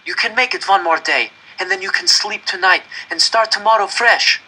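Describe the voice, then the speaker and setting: A man's voice: sits high, moderate pace, pushed and bright, slightly rough, pitched above the performer's own voice, full character voice, rough recording. A man voicing a desperate, exhausted young male prisoner in an audiobook, urgent and imploring. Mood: urgent pleading. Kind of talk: dramatic audiobook character reading.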